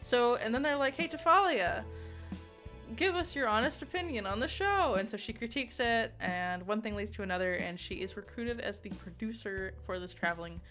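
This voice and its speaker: A woman giving a casual, chatty game review, giggly and excitable, with rambling asides. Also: on the high side, moderate pace. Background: music.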